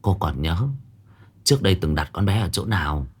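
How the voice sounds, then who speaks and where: A man voicing an old female fortune-teller who speaks gravely and solemnly, in a low, husky voice. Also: normal speed.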